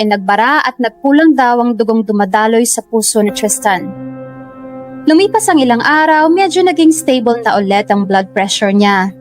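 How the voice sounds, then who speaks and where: A cheerful young woman, voiced by an actress in a radio drama, a little high-pitched, chatty and earnest. She also narrates, over faint background music. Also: natural voice.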